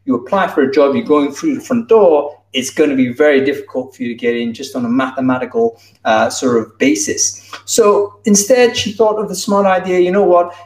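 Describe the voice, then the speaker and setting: A man's voice: a little high, brisk, hardly any pauses, a little mellow. A man in his thirties giving a conference keynote over a video call, talking in a loose conversational way with fillers and restarts. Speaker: keynote speaker. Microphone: video call, wired earbuds. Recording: roomy, distant video-call sound.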